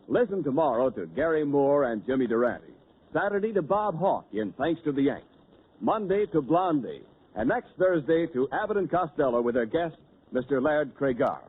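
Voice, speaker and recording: A man announcing sponsor copy in a smooth, formal 1940s radio style, recorded tinny. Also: mid-range voice. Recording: tinny 1940s radio broadcast, faint hiss.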